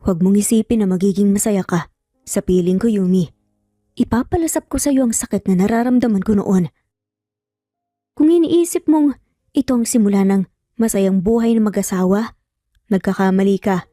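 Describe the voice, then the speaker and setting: A woman's voice: medium pitch, normal speed, pauses often, dark tone, clean studio sound, close to an everyday voice. A woman with a Filipino accent voicing a cold, vengeful young groom in an audio romance story, with a menacing manner. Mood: bitter threat. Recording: roomy echo, faint music behind.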